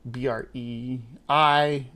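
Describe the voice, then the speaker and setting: A man's voice: mid-range voice, fairly even intonation, drawn-out words, sharp tone. A man hosting a casual live stream, talking in a rambling way with many fillers and restarts. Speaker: stream host.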